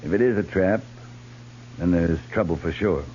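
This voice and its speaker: Low-voiced, steady American cavalry captain, played by a man. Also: natural voice. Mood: firm, urgent. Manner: measured, plain, firm. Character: steady, fair-minded male cavalry captain. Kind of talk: 1950s radio western acting. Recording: muffled 1950s radio recording with hum.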